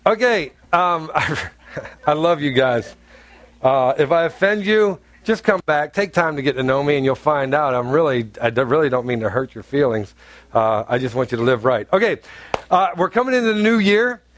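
A man's voice: animated, normal speed, fairly bright tone. A man from North Carolina preaching a sermon in a church, blunt and humorous, with chatty asides to the congregation. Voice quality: deep, carrying voice. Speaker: pastor. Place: church sanctuary.

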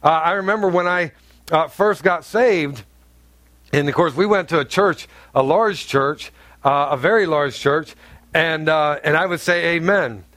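A pastor from North Carolina preaches to his congregation in a blunt, joking, conversational way, full of asides. His voice is on the higher side for a man, and the sound is close and dry, with little room echo. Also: unhurried, fairly bright tone. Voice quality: deep, carrying voice. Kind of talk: sermon. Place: church sanctuary.

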